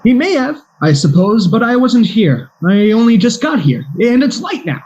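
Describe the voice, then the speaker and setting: An actor playing an eager, bumbling private detective in a comic radio play, with a hesitant, stammering delivery. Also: male voice, very high voice, very animated, moderate pace, darkish tone, dull audio. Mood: puzzled.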